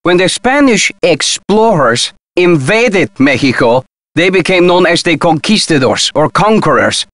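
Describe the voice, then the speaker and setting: Adventure game role: scornful, lecturing man, slightly high voice, very animated, pompous. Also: unhurried, no room sound, full character voice. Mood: stern, lecturing. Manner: pompous, accusatory. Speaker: video game character.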